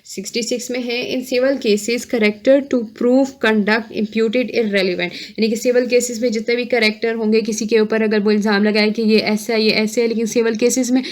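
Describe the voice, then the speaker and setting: A woman's voice: on the high side, steady tone, moderate pace, few pauses. Woman giving an informal law lecture. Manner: explanatory, often checking understanding with short tags. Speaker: law lecturer, online teacher. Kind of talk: informal lecture explaining legal articles, reading out and paraphrasing.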